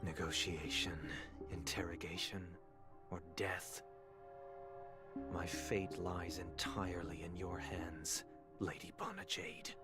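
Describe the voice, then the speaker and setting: An actor playing a proud, bitter fallen gentleman held captive, speaking formally and scornfully in a dramatic video game scene. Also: sits low, level tone, slow. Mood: weary resignation. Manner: formal, theatrical, scornful, sighing. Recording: loud music behind the voice. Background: loud music.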